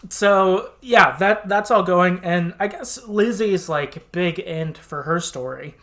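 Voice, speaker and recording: Casual, conversational podcast host with mid-range pitch. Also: takes it slowly, a bit bright. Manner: conversational, informal, hedging with "like". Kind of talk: casual podcast discussion retelling a game story.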